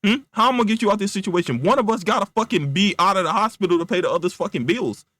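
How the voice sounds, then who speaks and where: Man as fed-up young male friend in a comic tale: blunt, profane, slangy, self-preserving, slightly high voice. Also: fairly bright tone, no room sound, studio quality. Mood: exasperated, loud. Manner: blunt, slangy, profane, rapid rhetorical questions.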